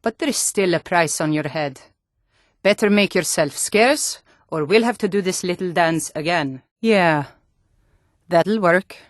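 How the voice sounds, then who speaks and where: A woman's voice: fairly low pitch, unhurried, dry recording, clean studio sound, natural voice. An actress voicing a tough Nord guard and bandit woman in a fantasy video game, stern, threatening and taunting. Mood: sly, conspiratorial. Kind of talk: video game character voice lines.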